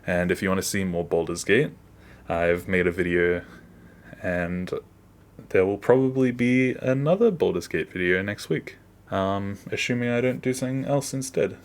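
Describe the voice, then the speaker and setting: A man's voice: low-pitched, normal speed. A man giving a casual behind-the-scenes walkthrough as an animator, offhand and self-deprecating, often trailing off. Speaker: animator, video creator.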